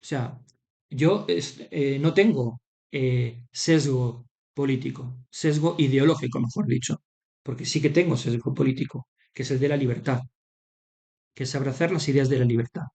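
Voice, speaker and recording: A man with a Madrid Spanish accent giving unscripted political commentary over slides, halting, drawing out words and often adding short checking tags. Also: medium pitch, creaky, dark tone. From Madrid, Spain.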